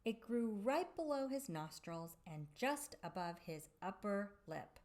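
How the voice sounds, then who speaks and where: Slow, animated woman reading a storybook. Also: medium pitch. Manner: theatrical, drawn-out emphasis with dramatic pauses. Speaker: storybook reader for children. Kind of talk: expressive read-aloud of a children's picture book.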